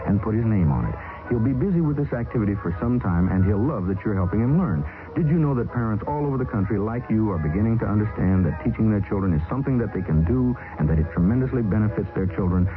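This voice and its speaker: Brisk, friendly radio announcer. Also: male voice, lowish voice, expressive. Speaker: public service announcer. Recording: tinny 1970s radio broadcast with music.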